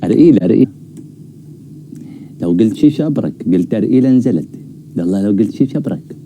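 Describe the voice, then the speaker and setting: A man's voice: lowish voice, lively intonation, fast, mellow, slight background noise. A man bantering casually as a live stream host, teasing and prodding with questions.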